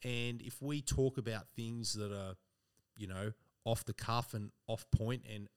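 A man's voice: medium pitch, steady tone, unhurried, clear, resonant tone. A man co-hosting a podcast in casual chat. He speaks earnestly and keeps saying "you know" and "team". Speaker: podcast co-host.

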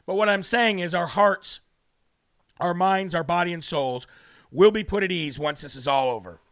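A man's voice: high voice, moderate pace, a bit bright, dry recording, clean studio sound. A man from New Hampshire hosting a live political talk show, brash and preachy, losing his voice as he reads out viewer comments.

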